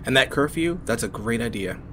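A man playing a worried, soft-hearted and protective adult, speaking gently and conversationally in an audio drama. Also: mid-range voice, lively intonation, fairly quick. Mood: concerned. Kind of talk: naturalistic audio drama acting. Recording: faint vehicle noise behind. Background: car ride.

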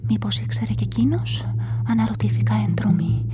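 An actress narrating as a guilt-ridden, pious spinster in a radio drama, in a hushed, confessional whisper. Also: female voice, a little high, music in the background, natural voice. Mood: frightened. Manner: tense, confessional, anxious. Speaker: first-person narrator of a crime story.

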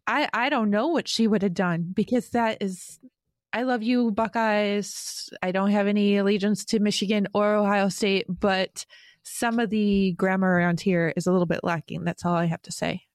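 A woman's voice: medium pitch, normal speed, no echo. A woman in her forties from Rochester, New York, hosting a podcast in a chatty, self-deprecating way and laughing often. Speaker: podcast host. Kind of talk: casual podcast chat.

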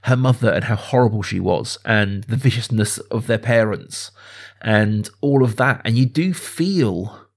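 A man's voice: on the low side, measured pace, creaky. A man hosting a podcast, talking casually about film in an emphatic way, often repeating phrases for stress. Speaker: podcast host.

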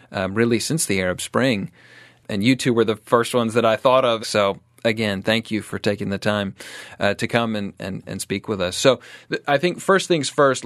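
Low-voiced earnest male podcast host. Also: a bit bright, dry recording, studio quality. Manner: earnest, measured. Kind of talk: podcast interview hosting.

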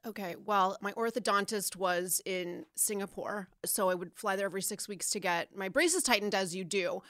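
A woman's voice: medium pitch, moderate pace, dry recording. Chatty woman comedian, close dry mic. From Jakarta, Indonesia. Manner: excited, chatty. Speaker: comedian, guest. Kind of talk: casual chat. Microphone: headphones and microphone.

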